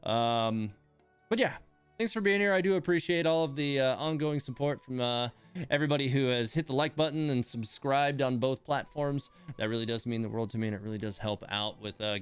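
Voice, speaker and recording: Male game streamer, smooth clear delivery, casual and rambling, self-deprecating, light music underneath. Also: mid-range voice. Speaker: game livestreamer, host. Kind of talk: casual livestream chat while playing a game.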